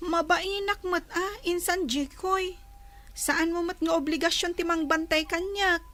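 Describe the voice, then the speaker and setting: A woman acts as a bashful young girl in an audio drama. Her voice is extremely high and modest, addressing a relative shyly. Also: steady tone, a little slow, sharp tone, heavily acted. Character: shy, bashful young female cousin.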